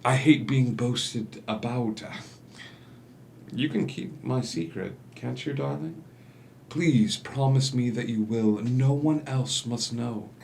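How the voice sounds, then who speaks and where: An actor playing a charming, calculating young doctor and swindler in a musical theatre table read, smooth and falsely modest. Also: male voice, medium pitch, slow, darkish tone, a little hiss or hum. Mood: coaxing, modest.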